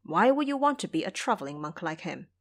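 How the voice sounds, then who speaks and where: A woman's voice: on the low side, sing-song, fast talker. A woman playing a brash, impatient young male monk who talks in a scolding, emphatic way in an audio drama. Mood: exasperated.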